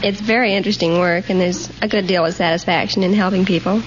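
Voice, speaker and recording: A woman's voice: mid-range voice, fairly even intonation, quick pace. A woman giving polite, conversational answers as a contestant on a radio quiz. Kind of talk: polite unscripted interview answers. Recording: dull, noisy 1940s radio recording.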